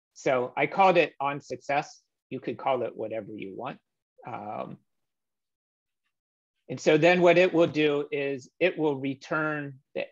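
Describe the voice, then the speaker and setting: Gravelly-voiced man explaining code. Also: medium pitch. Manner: relaxed, explanatory, many "um" fillers. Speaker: meetup presenter. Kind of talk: screen-share coding walkthrough. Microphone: webcam or laptop mic on a video call. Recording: slightly dull video-call audio.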